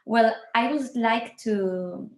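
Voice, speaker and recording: A woman's voice: on the high side, slow pace, a little mellow, roomy sound. A Spanish woman with a Spanish accent, speaking English as a second language in an online academic presentation, careful and apologetic. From Spain. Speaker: university researcher presenting.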